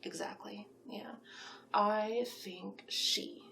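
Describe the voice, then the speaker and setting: A woman's voice: medium pitch, steady tone, very slow, gravelly, fairly bright tone, full character voice, low-quality recording. A woman playing a chatty, encouraging monster matchmaker in a confiding, gushing one-sided ASMR roleplay. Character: chatty, encouraging female monster matchmaker pitching candidates to a client.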